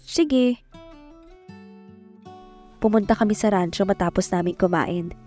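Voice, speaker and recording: A woman's voice: medium pitch, unhurried, warm tone, lightly acted. An actress playing a timid, tender young Filipina telling her love story in a romantic audio drama, speaking with a Filipino accent. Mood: nervous. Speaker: narrator and lead actress. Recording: music bed behind voice.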